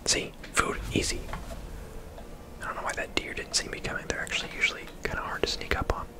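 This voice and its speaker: A man giving casual, unhurried ASMR game commentary in a conversational whisper as he plays. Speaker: ASMR gaming host.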